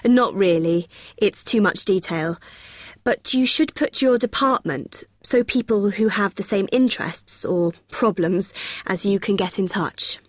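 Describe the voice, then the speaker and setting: A friendly young female student helper, played by a woman explains things patiently in an everyday listening-exercise dialogue. Her voice sits at a middle pitch, recorded very dry. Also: measured pace, dry recording, close to an everyday voice. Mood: friendly, helpful. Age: young adult. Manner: helpful, explanatory.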